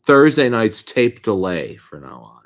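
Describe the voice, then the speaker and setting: Deadpan joking man, co-hosting. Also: fairly low pitch, measured pace. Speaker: co-host of a live stream. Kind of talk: casual live stream chat.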